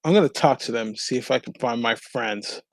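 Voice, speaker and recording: A man's voice: medium pitch, brisk, no echo. A man chatting casually as a player in a tabletop game, blunt, slangy and profane.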